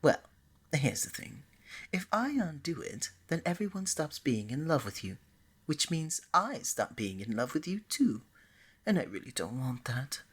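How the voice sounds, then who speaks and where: A woman's voice: low-pitched, big pitch swings, unhurried, husky, heavily acted. A woman playing a flirty, scatterbrained god of love in an ASMR romance roleplay, speaking to the listener in a playful, coaxing way. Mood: lovestruck, coaxing. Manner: playful, coaxing, bubbly and hesitant by turns.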